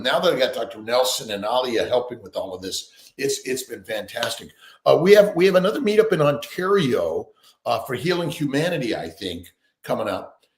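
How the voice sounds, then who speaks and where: A man's voice: medium pitch, reverberant. A man hosting a casual live-stream chat, rambling and upbeat, with many restarts. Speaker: host.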